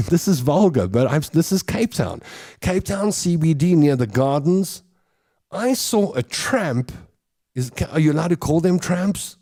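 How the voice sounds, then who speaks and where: A man's voice: on the high side, very animated, unhurried, warm tone. A man from South Africa with a South African accent, hosting a show with a chatty, rambling comic monologue and laughing at his own stories. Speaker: host.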